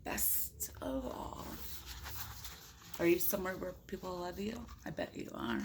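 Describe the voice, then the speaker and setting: A woman's voice: fairly low pitch, unhurried, background noise. A woman reading a picture book aloud in a playful, chatty way, with casual asides to young children. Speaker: storybook reader.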